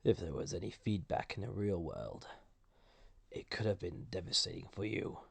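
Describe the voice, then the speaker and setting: Male actor as ancient banished entity, deep and husky, halting formal speech, gently condescending menace, intimate audio roleplay. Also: very animated, dry recording, heavily acted. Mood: grave, explaining. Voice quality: gravelly, husky, often dropping to a whisper. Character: ancient banished villain entity, wounded, soft-spoken and menacing. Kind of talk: intimate scripted audio roleplay addressed to listener.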